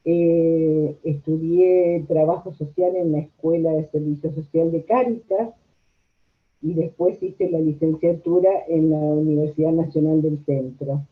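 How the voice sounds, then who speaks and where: A woman's voice: low voice, fairly even intonation, unhurried. Older female interviewee from Argentina, Rioplatense accent. Anecdotal, conversational telling through thin phone-like audio. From La Plata, Argentina. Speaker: interviewed social worker. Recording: tinny, narrow phone-like audio.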